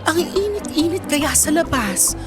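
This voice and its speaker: A woman's voice: sits high, very animated, measured pace, music in the background. A young woman with a Filipino accent acting a nosy, teasing, complaining college best friend in a radio drama, full of exclamations. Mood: whiny, complaining.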